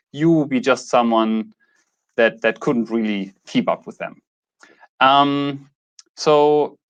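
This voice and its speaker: A man's voice: medium pitch, a bit bright. German-accented man in his thirties, hesitant delivery. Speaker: YouTube host. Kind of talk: casual talk to camera, introducing a channel.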